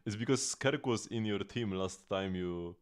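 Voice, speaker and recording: A man's voice: lowish voice, moderate pace, clear, resonant tone. A man in his late twenties chatting while he streams a game, rambling with lots of restarts and laughs. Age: late 20s. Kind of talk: casual gaming livestream chat.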